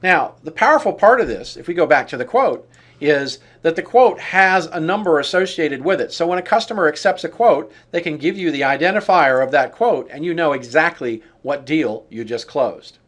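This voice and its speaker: A man with a somewhat high-pitched voice presents a software demo. He talks through each on-screen action step by step, explaining as he goes in the manner of a tutorial. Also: lively intonation, moderate pace. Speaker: software demonstrator. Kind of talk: walkthrough tutorial narration of on-screen steps.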